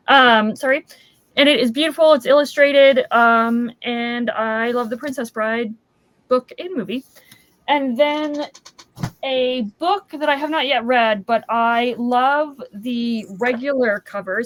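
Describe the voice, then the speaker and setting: Higher-pitched woman in casual book chat. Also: fairly bright tone, no echo. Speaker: book discussion panelist. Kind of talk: casual chat about books.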